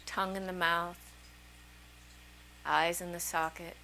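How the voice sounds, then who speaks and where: A woman leading a guided meditation as a teacher, speaking soothingly in short, unhurried phrases with long pauses between them. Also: on the low side, little pitch movement, creaky, pushed and bright, slight background noise, rough recording. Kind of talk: guided meditation, slow calming instruction.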